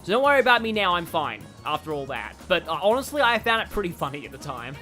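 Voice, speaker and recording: A game streamer talks casually on a livestream, rambling and reacting out loud to what happens in play. The voice sits at a middling pitch, with game music playing faintly behind it. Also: very animated, fast talker.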